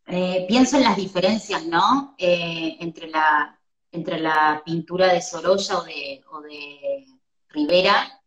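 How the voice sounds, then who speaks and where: A woman's voice: on the low side, slow, reverberant, dull audio. A woman in her thirties with a Rioplatense Spanish accent, hosting an interview over a video call and working out her questions as she speaks. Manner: thoughtful, searching for words. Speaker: host, interviewer. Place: room at home.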